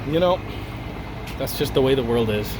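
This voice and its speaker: A hoarse man with a cold, grumbling and ranting about contractors while showing an old air conditioner in his backyard. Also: medium pitch, animated, moderate pace, background noise. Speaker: video host. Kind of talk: casual outdoor vlog walkthrough.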